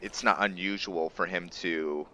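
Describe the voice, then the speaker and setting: A man giving roleplay game commentary, musing and pausing often as he talks. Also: sits low, sharp tone, a little hiss or hum. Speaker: gameplay video host. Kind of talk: roleplay game commentary with some reading aloud.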